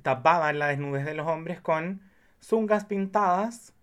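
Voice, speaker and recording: A man's voice: a little high, a little slow, a bit bright, smooth. A Chilean man chats casually as a podcast co-host. He is playful and campy, speaking in a confessional, informal style. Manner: playful, campy, drops English phrases. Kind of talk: casual, confessional chat about films.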